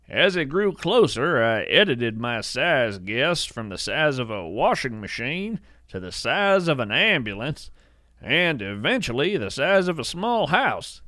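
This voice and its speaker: A man playing an earnest, awestruck naturalist, narrating in a poetic, measured storytelling style. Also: fairly high pitch, fairly bright tone, no echo, studio quality, full character voice. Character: awestruck bearded male naturalist who studies strange creatures. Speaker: host and narrator of a fiction podcast. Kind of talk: scripted first-person narration, storytelling.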